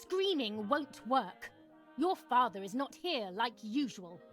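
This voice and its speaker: A woman's voice: on the high side, sing-song, a bit bright, soft background music, exaggerated voice. A woman voicing a cruel, deranged mother who scolds and threatens in a dramatic video game. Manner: slow, threatening, harsh scolding.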